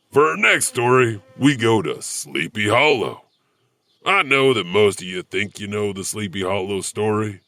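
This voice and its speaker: Man as a slow, deep, creepy horror host. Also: bright, forceful tone, exaggerated voice. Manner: chuckling, self-deprecating, hesitant asides. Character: creepy, wisecracking, no-longer-human janitor storyteller. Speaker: host and storyteller of horror anthology. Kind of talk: scripted horror story narration.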